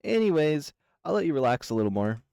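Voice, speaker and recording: Guy doing a soft gentle furry fella, voice pushed up a bit higher, super dry close sound. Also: big pitch swings, quick pace, gravelly, clear, resonant tone, dry recording. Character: gentle kind male furry creature host.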